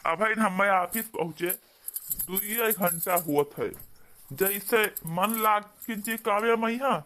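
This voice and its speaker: A man's voice: high voice, a bit bright. A man with an Awadhi accent playing an aggrieved village husband in a comedy cartoon, earnest, complaining and pleading. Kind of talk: amateur comedy cartoon dialogue.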